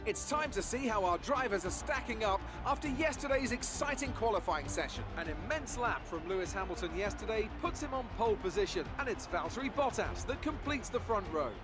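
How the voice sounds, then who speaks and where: An actor playing an in-game race commentator, speaking in polished, theatrical broadcast style. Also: male voice, high voice, lively intonation, a little fast, hardly any pauses. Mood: grand. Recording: game audio with loud music underneath.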